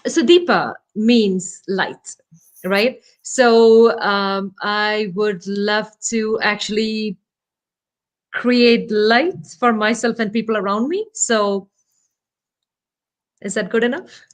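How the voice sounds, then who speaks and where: A woman's voice: mid-range voice, takes it slowly. A woman whose first language is Malayalam, a startup founder and podcast guest calling in from home, talking thoughtfully and modestly with many fillers as she searches for words. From India. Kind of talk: casual interview talk. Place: home, remote call.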